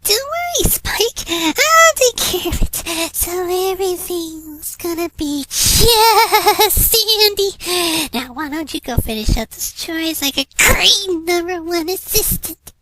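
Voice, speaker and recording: A woman's voice: extremely high pitch, lively intonation, takes it slowly, hardly any pauses, bright, forceful tone, slight background noise, full character voice, rough recording. A woman voicing a frantic, unhinged young unicorn pony with a manic, over-sweet, dramatic delivery in a fan fiction reading. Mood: manic cheer. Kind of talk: fan fiction audio reading, cartoon character acting.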